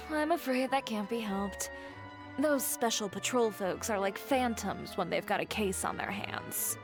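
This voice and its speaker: A woman's voice: a little high, expressive, unhurried. A woman voicing a cool, frank young woman in a video game, with a wry, matter-of-fact manner. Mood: resigned. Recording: music clearly behind the voice.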